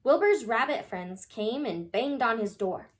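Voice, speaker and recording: Woman, high voice, very lively intonation, children's storyteller, expressive read-aloud. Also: a little slow. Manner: animated, expressive, exclaiming to young listeners.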